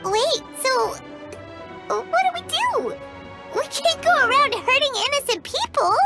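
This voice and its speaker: A woman voicing a small, excitable, childlike fairy companion in a video game, squeaky and exclamatory. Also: unhurried, loud music behind the voice, heavily acted. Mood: alarmed, amazed. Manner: exclamatory, refers to herself by name.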